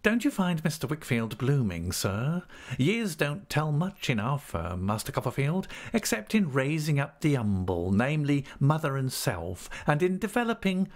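A man's voice: on the high side, big pitch swings, normal speed, pitched above the performer's own voice. A man voicing a falsely humble, scheming clerk in an audiobook, meek, oily and obsequious. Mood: fawning. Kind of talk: audiobook character acting.

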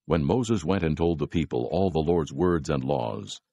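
A man narrating scripture from a script in a measured, solemn way. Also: very deep voice, expressive, vocal fry, mellow, breathy edge, no room sound.

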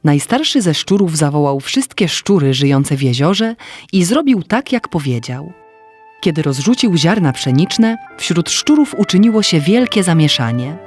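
A woman's voice: low-pitched, big pitch swings, moderate pace, a little mellow, faint music behind. A woman reading a scripted fairy tale as narrator.

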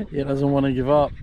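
Upbeat Australian man on a fishing boat. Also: on the high side. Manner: upbeat, chatty, exclaims at the catch. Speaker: fishing vlogger. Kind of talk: casual narration to camera. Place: small boat on an open inlet, outdoors. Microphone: action camera on the boat. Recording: outdoor recording with wind and water noise. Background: water, wind and boat noise.

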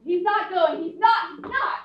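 A woman playing an excitable, lovesick young society woman in a staged radio comedy, insistent and melodramatic. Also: very high-pitched, measured pace. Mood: pleading, jealous. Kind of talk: staged old-time radio comedy acting. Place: indoor theatre stage, distant camera. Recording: distant, echoey, dull theatre stage recording.

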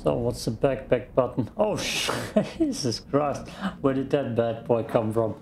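A man's voice: mid-range voice, big pitch swings, measured pace, gravelly, darkish tone, a little hiss or hum. A man with an Austrian accent, a native German speaker, giving casual muttering commentary as he plays a game. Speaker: gaming streamer. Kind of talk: casual let's play commentary.

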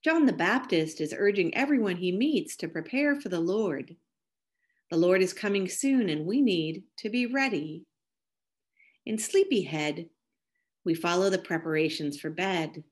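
Slow, low-voiced woman reading scripture. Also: animated. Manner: slow, careful, measured reading. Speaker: minister. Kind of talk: scripture reading and devotional reflection.

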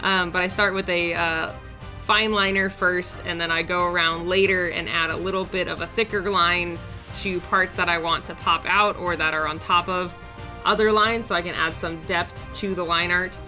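A young woman talks through a drawing in a casual voice-over, her voice raspy and a little deeper because of a sore throat. Her tone is lowish yet bright and clear, and she rambles along in an upbeat, chatty way. Soft music plays faintly under her voice. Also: steady tone, normal speed. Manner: chatty, upbeat, rambling with fillers and small laughs. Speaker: art channel host.

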